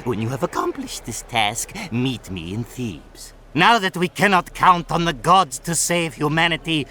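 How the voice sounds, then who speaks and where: A man voicing an anxious, scholarly older priest in a video game, with a theatrical, halting delivery. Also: fairly high pitch, expressive, sharp tone, slight background noise. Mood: resolute, urgent. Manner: theatrical, halting, muttering then exclaiming. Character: anxious, scholarly male priest-mentor of an ancient order.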